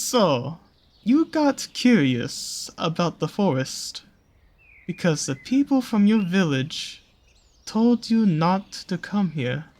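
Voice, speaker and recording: Slow, teasing naga predator with lilting voice acted. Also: medium pitch, big pitch swings. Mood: amused, probing. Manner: slow, teasing, coaxing, with pet names and drawn-out pauses. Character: seductive predatory naga, soft on cute small creatures. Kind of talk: scripted roleplay audio drama addressed to the listener.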